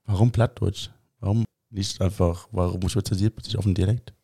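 A man's voice: deep voice, fairly even intonation, a little fast, dark tone, breathy edge, no room sound, clean studio sound. A man hosting an interview who asks curious, short follow-up questions in a casual way.

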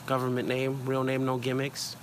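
Chicago man chatting over clear background noise. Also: medium pitch, monotone, moderate pace. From Chicago, USA. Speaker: podcast guest. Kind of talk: casual slangy podcast chat.

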